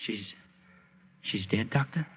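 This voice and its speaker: Husky, flustered radio-drama husband. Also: male voice, on the low side, lively intonation, slow pace, exaggerated voice. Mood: bewildered. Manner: stammering, protesting. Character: nervous, flustered husband under suspicion. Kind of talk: 1940s radio drama acting. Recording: muffled 1940s radio broadcast recording.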